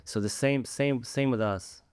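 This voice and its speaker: A man's voice: medium pitch, measured pace, a little mellow, clean tone, no echo, studio quality. A man, a podcast guest talking earnestly in casual conversation and often repeating words as he searches for them. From Ukraine. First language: Ukrainian.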